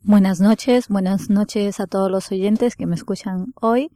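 A woman's voice: mid-range voice, steady tone, measured pace, mellow, no echo, clean studio sound. A young woman with an Ecuadorian accent giving a polite, earnest personal testimony as a radio guest, a little hesitant. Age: young adult.

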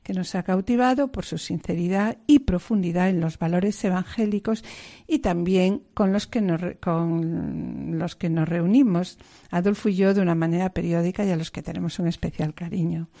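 Woman host, mellow voice, formal devout hosting, measured delivery. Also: fairly low pitch.

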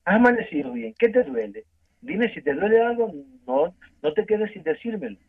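A man from Ecuador with an Ecuadorian accent, earnestly telling his story as a guest in a phone-in interview, halting and restarting often. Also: sits high, very animated, husky. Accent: Ecuadorian Spanish. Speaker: guest giving a testimony. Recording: tinny phone line.

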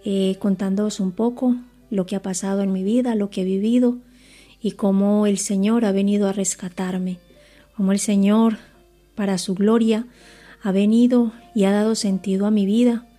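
A woman's voice: medium pitch, steady tone, moderate pace, warm tone, faint music behind. A woman in her forties from Colombia giving a personal faith testimony in an earnest, reflective way.

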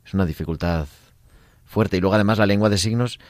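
A man hosting a radio interview, asking chatty, lively questions. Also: lowish voice, fast talker, no room sound. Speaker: radio host.

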